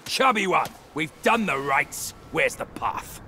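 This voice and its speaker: A man voicing a hot-tempered, boastful pig warrior in a video game, gruff and theatrically scornful. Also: a little high, expressive, takes it slowly, sharp tone, a little hiss or hum, exaggerated voice. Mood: scornful, impatient. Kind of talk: dramatic video game cutscene acting.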